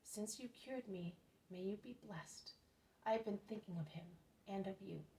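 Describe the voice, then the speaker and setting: A woman's voice: mid-range voice, normal speed, mellow, close to an everyday voice, poor audio. A woman reading as a grateful male beggar. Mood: earnest.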